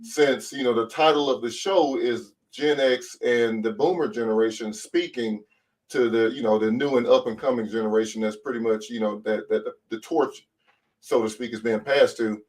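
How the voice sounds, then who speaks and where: A man's voice: mid-range voice, normal speed, a little mellow. Earnest young man, slightly distant mic. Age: 20s. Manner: polite, earnest, asks questions. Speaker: panel guest, channel host. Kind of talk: casual panel discussion.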